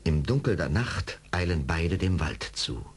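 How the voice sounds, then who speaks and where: A man narrating a radio play as a dramatic storyteller, with a husky voice. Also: low voice, animated, measured pace.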